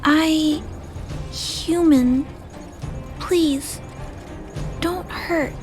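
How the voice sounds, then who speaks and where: A woman playing a gentle, compassionate little girl in a video game, hesitant and soft-hearted as she speaks. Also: sits high, fairly even intonation, extremely slow. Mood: curious, gentle. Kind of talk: video game character acting. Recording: music under the voice.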